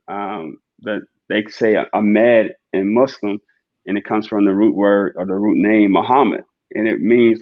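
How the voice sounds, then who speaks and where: Earnest low-voiced man, muffled audio. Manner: earnest, preacher-like, frequent "right?" tag questions. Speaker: podcast guest. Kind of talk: reflective podcast conversation.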